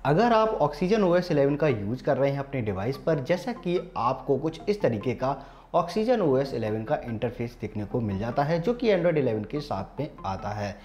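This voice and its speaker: A man's voice: mid-range voice, very animated, moderate pace, a little mellow, dull audio. An Indian man hosting a tech tutorial, speaking formally to viewers in an instructional, step-by-step way. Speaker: tech channel host. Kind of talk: scripted-sounding phone tutorial narration.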